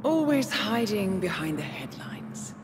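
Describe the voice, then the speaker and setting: An actress playing a cool, calculating handler of an assassin, measured and knowing, in a cinematic video game. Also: female voice, medium pitch, very animated, heavy background noise. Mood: taunting, accusing.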